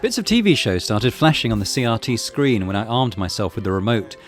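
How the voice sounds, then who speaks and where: A man's voice: on the low side, sing-song, fairly quick. A man narrating a visual novel aloud as a gaming channel host, in a dramatic storytelling style. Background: music.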